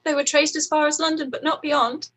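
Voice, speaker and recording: A woman acting a distressed, proud young gentlewoman in a period radio play, with emotional, halting delivery. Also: high voice, little pitch movement. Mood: anguished, despairing.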